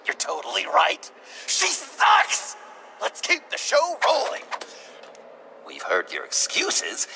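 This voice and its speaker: Theatrical, vengeful young madman in a game; extremely animated, slow dramatic delivery, volatile whisper-to-shout swings. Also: on the high side, vocal fry, a little hiss or hum, full character voice. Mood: mocking. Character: unhinged, theatrical young male villain craving approval and vengeance. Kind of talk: dramatic video game voice acting. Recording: loud music underneath.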